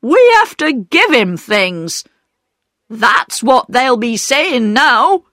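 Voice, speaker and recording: A woman reading the part of a proud, stubborn poor working man and father, speaking slowly and loudly. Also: on the high side, very animated, no echo, studio quality, pitched above the performer's own voice, full character voice. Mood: indignant.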